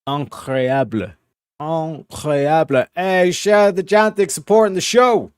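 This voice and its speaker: Slow-talking profane male streamer. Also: on the high side, smooth, dry recording, studio quality. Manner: profane, joking, talks to chat while playing. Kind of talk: casual gaming livestream chat.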